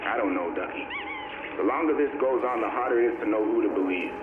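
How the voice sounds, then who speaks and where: An actor playing a cynical, streetwise survivor of a collapsed city in a game audio log, talking grimly and colloquially. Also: male voice, fairly low pitch, quick pace, natural voice. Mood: weary, wary. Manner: colloquial, grim, world-weary. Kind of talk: dramatic monologue in a video game audio log. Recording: tinny, echoey, noisy recorded audio log.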